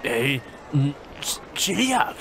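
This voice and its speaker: A man's voice: on the high side, big pitch swings, slow pace, creaky, a bit bright, a little hiss or hum, heavily acted. An actor playing a grumpy, dim-witted, heavyset older man in an audio drama, blustering in colloquial street talk. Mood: uneasy.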